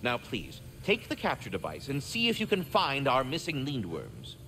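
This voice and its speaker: A man's voice: medium pitch, huge pitch swings, normal speed, sharp tone, soft background music, full character voice. An actor voicing a courteous, wordy, fatherly giant squirrel with flowery formal speech in a video game. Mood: gracious. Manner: verbose, formal, flowery diction. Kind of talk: theatrical video game acting.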